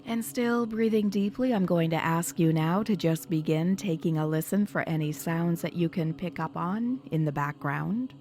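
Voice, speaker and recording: A woman hypnotherapist leading a guided meditation in a soothing, measured, instructional manner. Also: on the low side, darkish tone. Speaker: hypnotherapist guiding a session. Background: background music.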